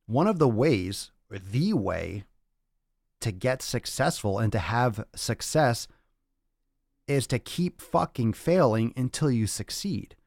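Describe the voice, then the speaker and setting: A man's voice: mid-range voice, animated, takes it slowly, vocal fry, a little mellow, no echo, studio quality. A man speaking as a fitness coach and podcast host in a blunt, emphatic, profane rant, often repeating phrases to drive points home. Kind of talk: motivational rant to livestream audience.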